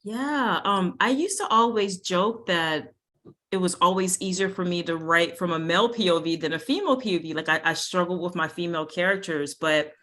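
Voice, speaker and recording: A woman's voice: fairly low pitch, normal speed, pushed and bright. A woman author interviewing a fellow writer, friendly and enthusiastic, with casual fillers. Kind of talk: casual conversation.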